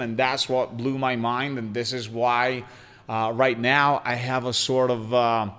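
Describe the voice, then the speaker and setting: A man in his forties from Bulgaria hosts his own podcast in a casual solo monologue, talking with lots of energy and plenty of "uh"s and restarts. His voice is smooth and resonant at a middling pitch. A long reverb hangs around it, as if he were in a big, hard room. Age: 40s. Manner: energetic, talkative, with frequent fillers and restarts. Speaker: podcast host. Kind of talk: casual self-introduction monologue.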